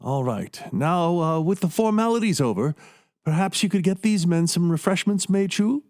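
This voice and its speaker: An actor playing a renowned, modest neurosurgeon in a radio play, genial and conversational. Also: male voice, sits high, expressive, normal speed, darkish tone. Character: renowned, self-deprecating male neurosurgeon and inventor.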